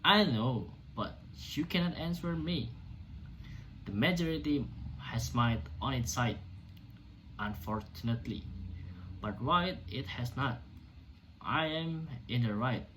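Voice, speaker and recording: Defiant doctor in a stage play, acted by an amateur male reader, medium pitch, declamatory and indignant, slightly noisy recording. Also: animated, fairly bright tone. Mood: defiant, emphatic. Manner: emphatic, declamatory, halting in places. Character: defiant, indignant doctor orating to a hostile crowd.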